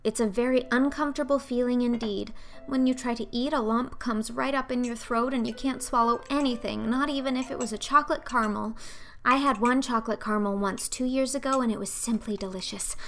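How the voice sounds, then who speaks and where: A woman's voice: a little high, steady tone, a little fast, a bit bright. A woman voicing a talkative, imaginative eleven-year-old orphan girl in an audiobook, theatrical and earnest in her pleading. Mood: earnest, woeful. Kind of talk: audiobook character acting.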